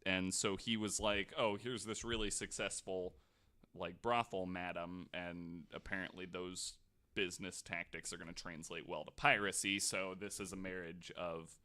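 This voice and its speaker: A man's voice: deep voice, level tone, normal speed, sharp tone. A man hosting a podcast, telling history stories in casual, jokey chat. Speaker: podcast host.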